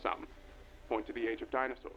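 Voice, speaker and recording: A dull, lo-fi recording with slight noise carries a grave documentary guide , acted by a man. He narrates in a formal, portentous vintage style, his voice set a little higher than most men's. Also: level tone, fairly quick, lightly acted. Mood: solemn, formal. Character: male documentary guide narrating monster history, grave and earnest.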